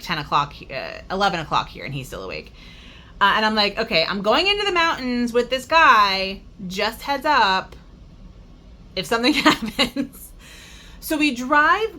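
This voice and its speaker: A woman's voice: medium pitch, sharp tone, slight background noise. A woman vlogger chatting casually as she tells a travel story, full of fillers and asides. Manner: extroverted. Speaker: crafting vlogger.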